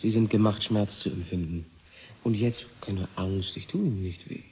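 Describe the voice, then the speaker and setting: Defiant scientist guy in a radio play, lowish and really rough voice, provoking, lecturing, pushy. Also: normal speed, dark tone, rough recording. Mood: probing, soft. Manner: taunting, insistent, lecturing. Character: provocative, defiant male scientist, needling and probing. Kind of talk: German radio play acting.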